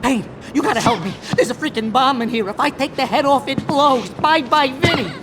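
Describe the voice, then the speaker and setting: An actor playing a panicky small-time mobster in a video game, whining and pleading frantically. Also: male voice, very high voice, a little fast, background noise. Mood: frantic pleading. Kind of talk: exaggerated game cutscene acting.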